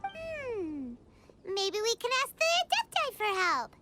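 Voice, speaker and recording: A woman voicing a tiny, childlike female companion creature in a video game, squeaky, eager and cheerful. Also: big pitch swings, slow, exaggerated voice. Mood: pondering, helpful. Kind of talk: video game character acting. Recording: game music behind the voice.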